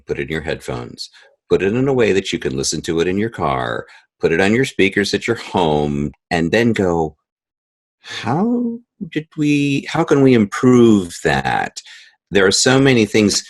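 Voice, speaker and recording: A man's voice: deep voice, big pitch swings, a little slow. A man speaking as a guest composer and sound editor in a conversational podcast interview, animatedly acting out quoted reactions.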